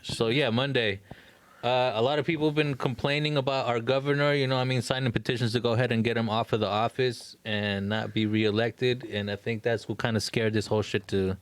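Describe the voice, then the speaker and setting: A man's voice: medium pitch, steady tone, fairly quick, dry recording. A man chatting casually on a podcast in slangy talk, often swearing and saying bro. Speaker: podcast co-host.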